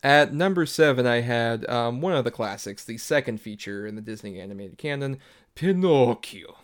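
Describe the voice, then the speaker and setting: A man talks as a podcast co-host with a medium-pitched voice. He keeps things casual and conversational. His manner is playful and jokey, as in easy banter between co-hosts. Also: fairly quick. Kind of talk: casual movie podcast chat.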